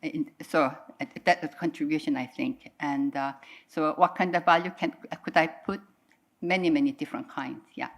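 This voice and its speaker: Woman wrapping up a talk, low voice, hesitant, lots of fillers. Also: steady tone, moderate pace. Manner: hesitant, many fillers and restarts. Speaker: conference presenter. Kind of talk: academic panel remarks. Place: conference hall.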